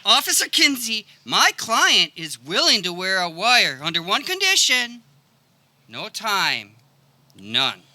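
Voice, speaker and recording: A woman's voice: medium pitch, huge pitch swings, slow, pushed and bright, full character voice. A woman playing a cocky, bossy stoner lawyer bro in a comedic radio play. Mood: persuasive. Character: brash slacker stoner dude playing self-important lawyer. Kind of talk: comedic radio theater acting. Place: backyard, live performance.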